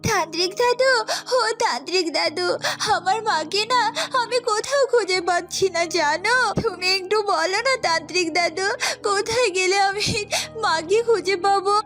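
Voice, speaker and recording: A woman's voice: extremely high pitch, normal speed, a bit bright, soft background music. A woman voicing a small young bird child in a cartoon, plaintive and pleading, tearful yet brave. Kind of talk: Bengali children's cartoon voice acting.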